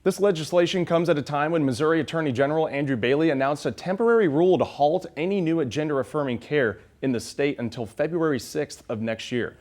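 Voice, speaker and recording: A man's voice: fairly high pitch, fast talker, hardly any pauses, darkish tone. Young American man reporting local TV news.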